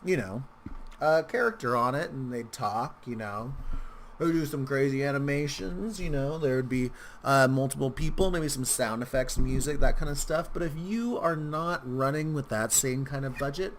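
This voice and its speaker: Casual man with gravelly fry, rambling tutorial. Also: mid-range voice, normal speed, a little hiss or hum. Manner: rambling, informal, with wry asides. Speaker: tutorial host. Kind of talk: casual screen-recorded software tutorial.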